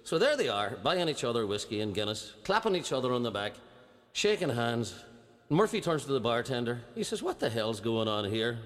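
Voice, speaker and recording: Man, stand-up storyteller, does the characters' voices, mid pitch, long hall echo. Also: sing-song, fairly quick. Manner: acts out characters in a lilting storyteller's voice. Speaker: comedian telling jokes. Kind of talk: stand-up joke telling. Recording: played-back stand-up set with hall reverb.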